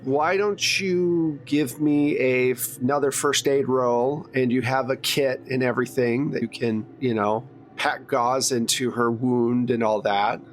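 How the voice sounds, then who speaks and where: A man's voice: medium pitch, steady tone, a little slow, few pauses, slight background noise. A man acting as game master, narrating a tabletop horror game in a conversational way.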